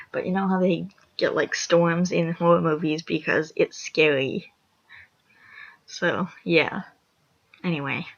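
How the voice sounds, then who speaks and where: A young woman with a raspy voice, reading a horror fan story aloud, rambling and apologetic with chatty asides. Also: fairly low pitch, a bit bright. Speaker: story reader. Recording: lo-fi dull recording.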